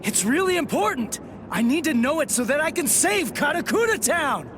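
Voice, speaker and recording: An actor playing an earnest, hot-headed teenage sword fighter in an anime game, speaking with intense emphasis. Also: male voice, very high-pitched, sing-song, normal speed, background noise. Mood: pleading, demanding. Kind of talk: English anime game voice acting.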